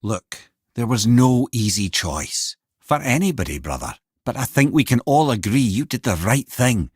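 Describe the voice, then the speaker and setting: A clever old Scottish storyteller, the talking head of a wise man in a fantasy game. The delivery is theatrical and folksy, with wry humor and pitch that swings widely. The sound is dry, with no room around the voice. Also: big pitch swings, normal speed, a little mellow, slightly rough, no room sound. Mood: consoling. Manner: wry, theatrical, folksy, addresses listeners as brother and lad. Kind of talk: expressive video game character acting, storytelling and banter.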